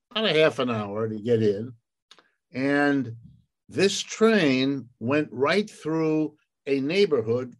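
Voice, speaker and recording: An older American economics professor telling his life story in an unhurried way, with frequent pauses and fillers. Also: mid-range voice. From United States. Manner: unhurried, many "uh" and "um" fillers, occasional laughs. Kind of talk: reflective personal storytelling.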